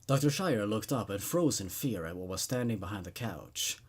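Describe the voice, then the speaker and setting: Man narrating horror with lively pitch. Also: normal speed, husky. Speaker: host and story reader. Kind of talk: dramatic horror story narration.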